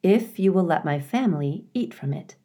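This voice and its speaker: A woman voicing a gentle, practical female deer in a storybook, speaking in an instructive way. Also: lowish voice, lively intonation, normal speed. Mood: gentle, measured. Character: gentle, practical adult doe, garden expert.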